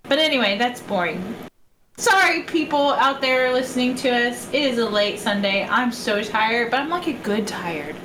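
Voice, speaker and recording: Bubbly, shrill-voiced woman podcast host. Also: expressive, normal speed. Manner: bubbly, laughs often. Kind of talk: casual podcast chat. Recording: echoey room with background noise.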